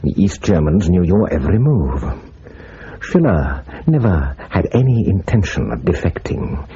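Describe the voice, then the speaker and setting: Senior British spymaster for radio drama: very husky, low and dark, scathing authority, slightly muffled recording. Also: male voice, sing-song, unhurried, heavily acted. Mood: cold, scathing. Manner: cutting, authoritative. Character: stern, angry senior British intelligence chief, man.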